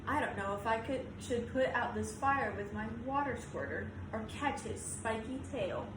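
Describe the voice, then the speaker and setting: An imaginative little storybook boy, acted out by a woman reader, boastful yet easily spooked. He exclaims in childlike phrases at a slightly high pitch. The sound is echoey and noisy. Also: animated, normal speed, lightly acted. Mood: nervous. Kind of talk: storybook read-aloud. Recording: roomy echo, clear background noise.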